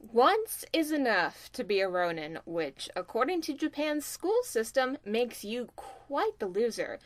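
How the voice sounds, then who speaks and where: Smug teenage girl, played by a woman, slightly high, unhurried, teasing and scheming, anime audio play. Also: very animated. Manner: slow, smug mockery. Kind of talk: amateur anime audio drama acting.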